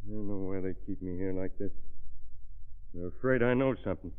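An actor playing a fearful, downtrodden cook with a hesitant, folksy, ungrammatical way of talking in an old-time radio drama. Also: male voice, low-pitched, fairly quick, clear, resonant tone, close to an everyday voice. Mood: nervous. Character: fearful, downtrodden working-class male camp cook. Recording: muffled, narrow-band old radio recording.

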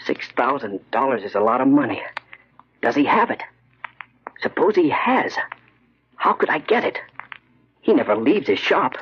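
An actor playing an anxious, desperate young schemer in a 1940s style radio horror play, with a hesitant, nervous manner. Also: male voice, mid-range voice, expressive, moderate pace, long pauses, slightly rough. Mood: desperate, brooding. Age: young adult. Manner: hesitant, nervous, stiffly polite. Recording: dull, lo-fi old radio recording.

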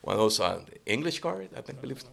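A man, a guest telling fishing stories in a relaxed way with frequent restarts. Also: mid-range voice, fast talker, creaky. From Cuba. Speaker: guest angler. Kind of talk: casual podcast interview.

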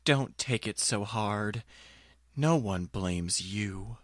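A man's voice: lowish voice, extremely slow, no room sound. Dry-recorded man, crude sarcastic podcast talk. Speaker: podcast panelist. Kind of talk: casual film discussion.

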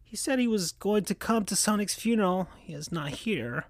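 A man voicing a sad, toothless young hedgehog girl who mumbles in a high voice while reading a story aloud. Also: expressive, moderate pace, no echo, studio quality. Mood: worried.

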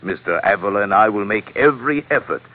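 A man playing an oily, pompous colonel in an old radio adventure. His low voice swoops grandly through elaborately courteous phrases, slightly husky, over a tinny broadcast sound. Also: expressive, fast talker, lightly acted. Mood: smugly courteous. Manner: florid, elaborately polite speech. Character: pompous, unctuous, smooth-talking villainous colonel. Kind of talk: old-time radio drama acting.

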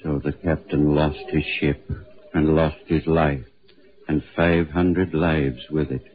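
Haunted ghostly sea captain, muffled radio. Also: male voice, extremely low pitch, flat delivery, slow, faint music behind. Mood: brooding, ominous. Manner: solemn, incantatory, repetitive phrasing. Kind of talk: 1940s radio horror acting. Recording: muffled, narrow-band 1940s radio recording.

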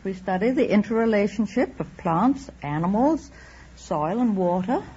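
A woman's voice: mid-range voice, expressive, moderate pace, warm tone, breathy edge. A woman giving short answers to a host as a quiz show contestant. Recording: dull, noisy old radio recording.

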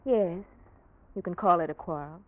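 A woman's voice: fairly low pitch, moderate pace, slight background noise, lightly acted. An actress playing a poised, guarded young single woman in an old radio drama, speaking politely and with reserve. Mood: guarded, cool. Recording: dull, muffled old radio recording.

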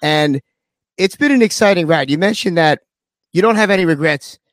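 Male radio host, joking crude banter, a bit high-pitched, dry sound. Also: lively intonation, normal speed, dry recording. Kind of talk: casual interview chat.

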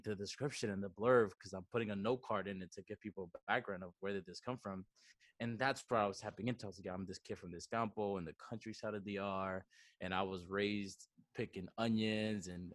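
A man's voice: lowish voice, no room sound. A man in his twenties with a Bronx New York accent, chatting casually as an interview guest, slangy and dropping in Spanish words. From the Dominican Republic and the Bronx, New York. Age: 20s. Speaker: podcast guest, coffee entrepreneur.

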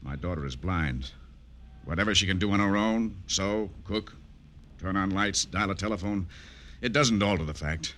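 Bitter dad in old radio drama, low forceful voice, measured and formal. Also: male voice. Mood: bitter, resolute. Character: bitter, protective father of a grown daughter.

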